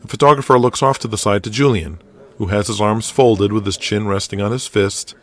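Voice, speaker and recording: A man narrating a scripted audio drama with dramatic, measured storytelling. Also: fairly low pitch.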